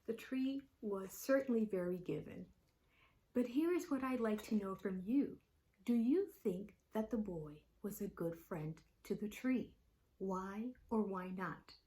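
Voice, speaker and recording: A woman reading a picture book aloud and talking to young children in an expressive, deliberate manner. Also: medium pitch, poor audio. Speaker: children's story-time reader.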